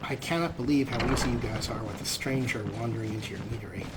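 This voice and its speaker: Male let's play host, mid-pitched, wry and talkative showman style, noticeable background noise. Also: fast. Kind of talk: gameplay commentary. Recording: clearly noisy, game ambience underneath.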